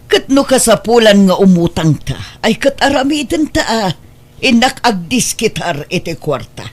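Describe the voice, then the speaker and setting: A woman playing a caring, plain-spoken older village woman with a husky voice in a radio drama. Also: mid-range voice, very animated, moderate pace. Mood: concerned.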